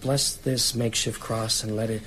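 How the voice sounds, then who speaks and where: An uneasy male writer in a horror radio play. His voice is fairly low and halting, with clear noise behind it. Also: level tone, sharp tone. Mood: fearful, praying. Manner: hesitant, halting. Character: uneasy writer caught up in a vampire hunt. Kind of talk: naturalistic radio drama acting.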